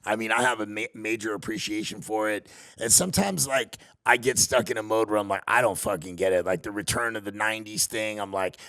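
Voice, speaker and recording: Gravelly man in his late forties. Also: lowish voice, steady tone, a little fast, hardly any pauses, bright, forceful tone, dry recording. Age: 40s. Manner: joking, profane, self-deprecating. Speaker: podcast co-host. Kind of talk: casual chat. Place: small red podcast studio.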